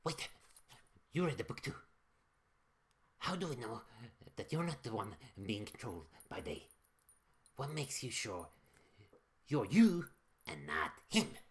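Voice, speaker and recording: A man's voice: mid-range voice, very animated, a little slow, long pauses. A man voicing an anxious, bookish, earnest male character in a dramatic, urgent amateur story reading. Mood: distrustful.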